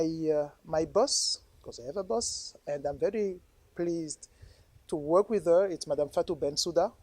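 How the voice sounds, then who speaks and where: A man's voice: on the high side, a little mellow, no room sound. A man from Congo with a French accent, giving a keynote speech at a conference and often repeating words and restarting phrases. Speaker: keynote speaker.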